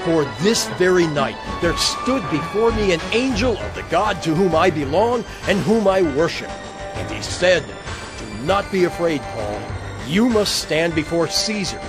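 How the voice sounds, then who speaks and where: A man's voice: a little high, lively intonation, unhurried. A man playing an earnest apostle who exhorts frightened shipmates in a dramatized scripture audio drama, with emphatic, oratorical delivery. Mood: urgent, encouraging. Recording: music bed under the voice.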